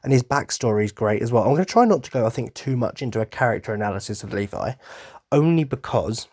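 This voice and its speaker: Hoarse young man rambling excitedly. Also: medium pitch, fast talker, no echo, studio quality. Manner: rambling and enthusiastic, frequent ums and restarts. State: hoarse, losing his voice. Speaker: podcast host. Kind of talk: casual solo podcast chat.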